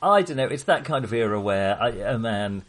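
A man's voice: mid-range voice, expressive, moderate pace. A man co-hosting a casual film discussion, chatty, enthusiastic and full of opinions. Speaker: film podcast co-host.

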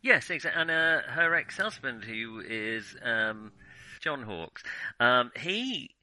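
Male podcast co-host, forceful bright tone, very dry recording, hesitant and self-correcting in casual film chat. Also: medium pitch, sing-song, slow pace, no room sound. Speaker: podcast co-host discussing films.

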